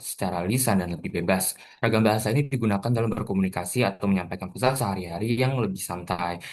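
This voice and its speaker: A young man with a somewhat low voice presents his section of a lesson. He explains each point in turn and speaks directly to his classmates. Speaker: student presenter.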